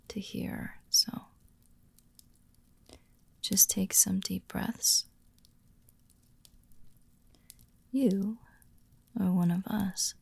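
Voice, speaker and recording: A woman playing a calm, caring werewolf pack member who gently reassures a newcomer in a breathy, creaky, near-whispered audio roleplay. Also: medium pitch, dry recording, exaggerated voice. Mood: gentle, concerned. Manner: slow, gentle, reassuring, with deliberate pauses. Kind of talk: soft-spoken immersive ASMR-style audio roleplay.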